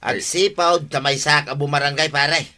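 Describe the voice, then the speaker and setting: A nagging Ilocano villager in a radio comedy. The voice is sharp and forceful, sitting slightly high, and argues in an indignant, scolding way. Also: male voice, fairly even intonation, moderate pace.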